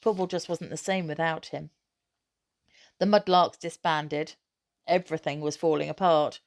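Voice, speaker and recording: A woman narrates a children's novel in a fairly low voice. She reads scripted prose aloud with expression, as a storybook reader. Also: fairly even intonation, moderate pace, gravelly, no echo.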